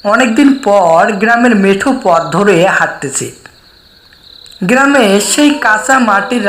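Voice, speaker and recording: A woman narrating a scripted horror story in the first person, in a measured, suspenseful way. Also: medium pitch, a bit bright. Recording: echoey voice with faint background noise.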